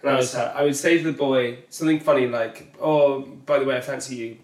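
A man's voice: mid-range voice, steady tone, quick pace. A man on a podcast, chatting eagerly with blunt, jokey opinions. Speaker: podcast guest. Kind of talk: casual chat. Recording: echoey room, distant mic.

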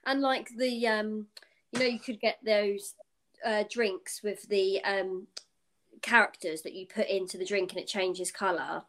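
A woman's voice: medium pitch, fairly even intonation, unhurried, fairly bright tone. A British woman in her twenties, co-hosting a casual podcast chat, rambling and chatty with lots of fillers. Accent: British English. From United Kingdom. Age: 20s. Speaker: podcast co-host.

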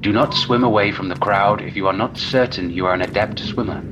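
A man playing a stern, measured, didactic narrator reading an old-fashioned instruction manual. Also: fairly low pitch, natural voice. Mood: cautioning. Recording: dull lo-fi sound with music. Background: music.

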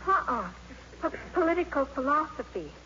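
A woman's voice: high voice, sing-song, normal speed, sharp tone, heavily acted. An actress playing an earnest, easily flustered teenage schoolgirl with a stammering, breathless delivery in a 1950s radio drama. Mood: shy, nervous. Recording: muffled, noisy 1950s radio recording.

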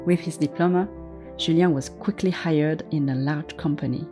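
A woman narrating a story in a gentle, measured, scripted way. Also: low-pitched, fairly even intonation. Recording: faint music underneath.

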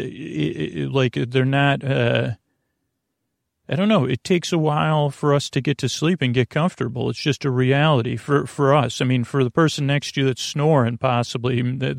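Gravelly, meandering male podcast host. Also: mid-range voice, dry recording, clean studio sound. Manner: halting, meandering, repeats and trails off mid-sentence. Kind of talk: rambling, informal sleep podcast introduction.

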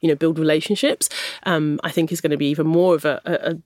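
A man's voice: fairly high pitch, steady tone, fast, darkish tone, no room sound, clean studio sound. A man hosting a podcast, asking long, enthusiastic, rambling questions. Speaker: podcast host. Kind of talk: podcast interview.

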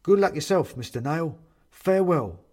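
A man's voice: on the high side, animated, normal speed, warm tone, voice pushed up, lightly acted. A small, deferential toy man from a storybook, put on by a male reader. He speaks in a raised, lilting voice, politely and hesitantly, as if apologising. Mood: anxious, polite. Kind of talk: storybook character reading.

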